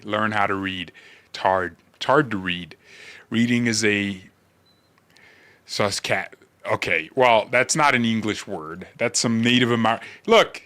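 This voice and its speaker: A man rambling casually and comedically to livestream viewers in a brash, mocking, animated manner. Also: mid-range voice.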